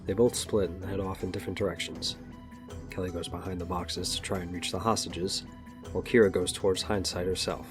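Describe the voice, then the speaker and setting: Low-voiced man narrating an audio drama. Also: flat delivery, brisk, over music.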